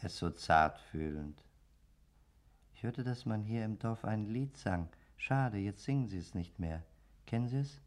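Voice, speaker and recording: A man's voice: on the low side, expressive, unhurried. An actor playing the polite, sardonic ghost of a murdered man in a radio play, chatty and mocking. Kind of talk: German radio play acting.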